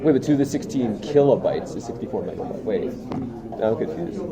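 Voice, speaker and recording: Gravelly young man thinking aloud, crowd behind. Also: medium pitch, lively intonation, fairly quick, poor audio. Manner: puzzled, self-correcting reasoning. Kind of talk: casual muttered thinking aloud. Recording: clear crowd murmur behind the voice.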